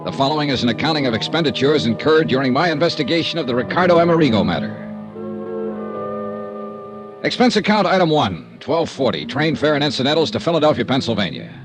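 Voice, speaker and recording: An actor playing a wry, wisecracking freelance insurance investigator who also narrates, in a 1950s radio drama. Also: male voice, mid-range voice, big pitch swings, fast talker, over music, natural voice. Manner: wry, joking, laid-back narration. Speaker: lead and narrator.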